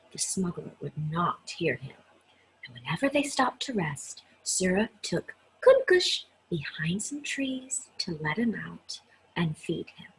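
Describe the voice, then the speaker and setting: A woman reads a children's story aloud at an unhurried pace. Her voice is very breathy and husky, with lively, swooping intonation. She speaks straight to her young listeners in an expressive storytelling manner. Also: mid-range voice, low-quality recording. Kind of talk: read-aloud storytelling with personal asides.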